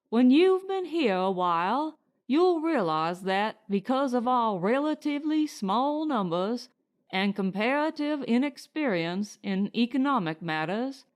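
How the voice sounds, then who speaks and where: Woman playing a middle-aged guy running a colony, audiobook, formal and frank, takes it slow. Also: fairly high pitch.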